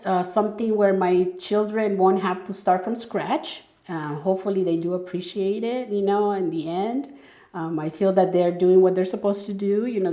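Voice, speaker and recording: A woman's voice: lowish voice, dark tone. A middle-aged woman from El Salvador, talking casually as an interview guest with many fillers and restarts. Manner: conversational, frequent fillers and restarts. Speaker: guest entrepreneur. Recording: echoey room.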